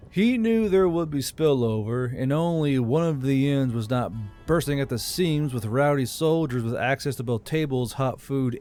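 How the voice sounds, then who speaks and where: A man's voice: mid-range voice, darkish tone. A man reading a scripted fantasy story as an audiobook narrator.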